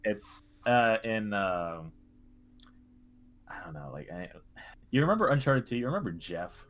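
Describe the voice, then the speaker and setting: A man co-hosting a casual chat about video games, speaking in a halting, understated way. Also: fairly low pitch, very animated, a bit bright. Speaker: podcast co-host. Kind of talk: casual video game discussion. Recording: music playing behind the voice.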